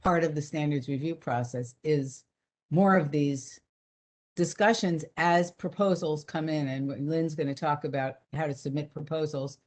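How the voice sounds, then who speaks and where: A woman's voice: deep voice, measured pace. A woman program director giving a conversational, explanatory webinar presentation over a video call.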